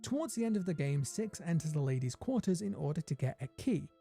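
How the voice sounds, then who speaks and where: A man's voice: fairly high pitch, big pitch swings, quick pace, creaky, mellow. A man giving scripted video essay narration, explaining things step by step and building suspense. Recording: prominent music under the voice. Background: music.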